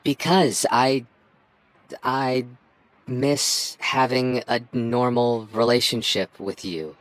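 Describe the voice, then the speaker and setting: A man's voice: mid-range voice, slow, dry recording, close to an everyday voice. A man playing a stubborn young childhood friend confessing his love in an intimate roleplay, speaking earnestly with stammers and false starts. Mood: pleading, regretful. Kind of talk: ASMR roleplay, intimate one-sided dialogue.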